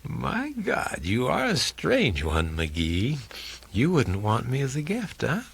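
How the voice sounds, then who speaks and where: Man voicing a husky, sardonic, seductive film actress. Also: medium pitch, huge pitch swings, slow, a bit bright, voice pushed up, full character voice. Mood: teasing. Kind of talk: audiobook character dialogue.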